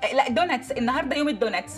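A nosy, boastful Egyptian woman next door in a TV comedy. She gushes with false sweetness at a rapid pace in a high voice. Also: animated, soft background music. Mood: nosy, smug. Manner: gushing, drops English words into talk.

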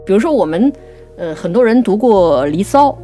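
A woman co-hosting a conversational talk on classical poetry, explaining things and reciting verse slowly. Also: mid-range voice, animated, dark tone, soft background music. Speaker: writer and co-host.

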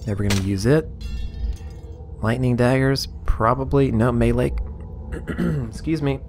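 A man casually commenting on his game as he plays, rambling and amused, a little hoarse. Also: medium pitch, unhurried, a little mellow, faint music behind. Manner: rambling, self-amused, sorting inventory aloud. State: slightly hoarse. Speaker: let's play host.

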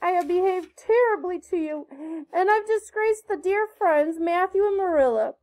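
A woman's voice: extremely high pitch, normal speed, darkish tone, pitched above the performer's own voice, rough recording. A woman voicing a dramatic, imaginative orphan girl who pleads effusively in a storybook reading. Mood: theatrical pleading.

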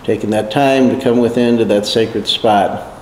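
Man, calm meditation guide, unhurried and prayerful, roomy hall sound with faint hiss. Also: mid-range voice. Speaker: meditation leader. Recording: echoey hall, faint noise.

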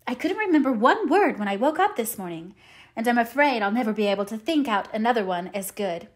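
A woman's voice: fairly high pitch, lively intonation, a little fast, close to an everyday voice. A woman voicing an eager, dreamy orphan girl who talks earnestly and effusively, as in an audiobook. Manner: effusive, earnest, dramatic phrasing. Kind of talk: audiobook character voice.

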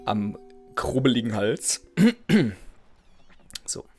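A man in his thirties with a cold and a slightly nasal voice comments casually on a game. He chats freely and reads in-game letters aloud. He speaks through a headset at home, with game music clearly audible behind him. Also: mid-range voice, sing-song, drawn-out words, a little mellow. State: has a cold. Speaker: let's play host. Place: home gaming room, indoors.

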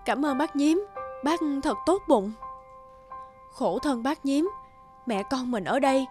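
A woman's voice: high-pitched, expressive, slow pace, darkish tone. A woman voicing a polite, tender mother bird in a cartoon, patient and motherly. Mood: grateful, tender. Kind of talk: cartoon dubbing. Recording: music behind the voice.

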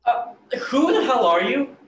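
A woman's voice: sits high, big pitch swings, measured pace. A young adult playing an awkward, smitten young man, stammering and hesitant, in a play reading. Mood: flustered. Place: indoor room, video call. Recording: roomy video call, background noise.

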